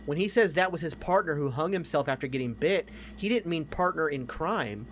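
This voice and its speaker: A man with a voice a little higher than average co-hosts a casual entertainment podcast. He is emphatic and opinionated and swears freely. Faint noise sits behind his voice. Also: animated, a little fast, a bit bright. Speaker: podcast co-host. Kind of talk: casual film and game discussion.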